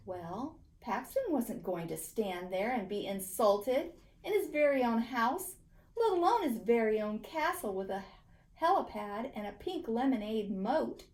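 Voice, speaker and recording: Very animated woman reading a children's story. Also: fairly high pitch. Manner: theatrical, deliberate. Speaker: teacher reading aloud. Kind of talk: expressive children's story narration.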